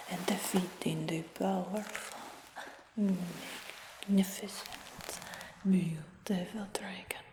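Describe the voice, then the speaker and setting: Woman playing a smug whispering dragon hunter, slow and echoey. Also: lowish voice. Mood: proud, teasing. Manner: slow, coaxing, gently boastful whispering. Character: smug, doting human dragon hunter woman, soothing captor. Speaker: ASMR roleplayer. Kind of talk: whispered ASMR fantasy roleplay. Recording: echoey whisper with long cave-like reverb.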